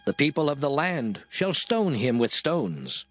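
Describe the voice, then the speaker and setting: An actor playing God as a solemn lawgiver in a dramatized scripture reading, speaking in a measured, declamatory manner. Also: male voice, mid-range voice, sing-song, soft background music. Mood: stern, condemning.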